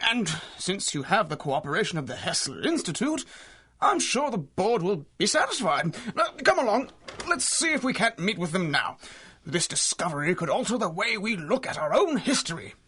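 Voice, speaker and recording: An actor playing a courteous, excitable older archaeologist with a fussy, hesitant manner, in a 1930s-style radio drama. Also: male voice, high voice, moderate pace, slightly rough, heavily acted. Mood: doubtful, then eager.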